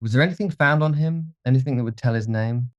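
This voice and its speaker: A man voicing an anxious, imperious young gentleman with an intense manner, for an audiobook. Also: lowish voice, expressive, normal speed, dry recording, lo-fi sound, voice pushed up, natural voice. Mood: agitated. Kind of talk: audiobook character voice.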